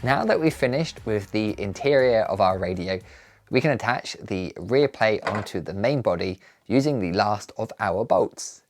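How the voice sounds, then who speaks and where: A man's voice: fairly low pitch, lively intonation, moderate pace, soft background music. A man giving scripted, step-by-step DIY tutorial narration in a careful, politely instructional manner. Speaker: presenter of a DIY build tutorial.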